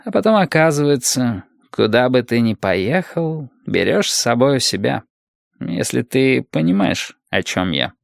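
A man voicing a calm, cultured, ancient male guardian from another world, velvety and formal, with measured, deliberate phrasing. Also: medium pitch, creaky, no room sound, studio quality. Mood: calm, thoughtful.

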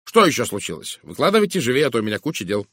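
A man voicing a brusque, impatient, outspoken woman in an audiobook, with a curt, sharp and emphatic delivery. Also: medium pitch, expressive, a little fast, no room sound, studio quality, pitched above the performer's own voice, close to an everyday voice. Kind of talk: dramatised audiobook dialogue.

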